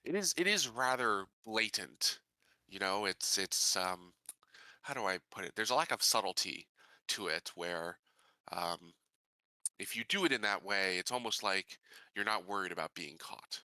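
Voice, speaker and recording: Earnest colony investigator, played by a man, measured and formal, forceful bright tone, low-pitched, for a tabletop sci-fi game. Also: expressive, no echo. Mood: thoughtful, probing. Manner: hesitant, thoughtful, formal phrasing. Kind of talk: in-character tabletop roleplay dialogue.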